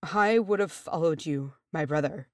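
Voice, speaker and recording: A dying warrior in a fantasy story as played by a woman reader, strained and breathy. He speaks in broken, halting pleas, heavy with anguish and shame, in a dry recording with no room sound. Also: sits low, a little mellow, no echo, close to an everyday voice. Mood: weak, reverent. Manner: halting, pleading. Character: dying warrior man, anguished, ashamed, reverent.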